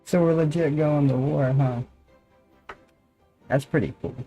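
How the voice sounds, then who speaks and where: Mellow, slightly gravelly male game streamer. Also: fairly high pitch, fairly even intonation, measured pace, soft background music. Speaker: game livestreamer. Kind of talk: casual live gaming commentary.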